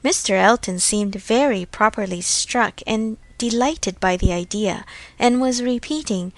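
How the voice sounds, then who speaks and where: A woman narrating a classic novel in a measured, formal storytelling manner. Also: on the high side. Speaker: audiobook narrator. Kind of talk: scripted literary narration.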